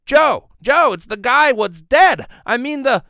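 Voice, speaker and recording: A man's voice: very high-pitched, lively intonation, unhurried, pushed and bright, no room sound, clean studio sound, pitched above the performer's own voice, full character voice. A man voicing a panicky, slangy, dim working-class fellow in an old-style radio play. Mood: frightened. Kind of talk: old radio serial acting.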